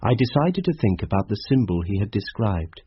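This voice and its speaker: A man's voice: lowish voice, very animated, a little fast, dull audio, a little hiss or hum. An actor playing a regretful, contemplative narrator in a radio drama, a British man looking back in literary first person. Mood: wistful. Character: reflective, regretful male narrator recalling events.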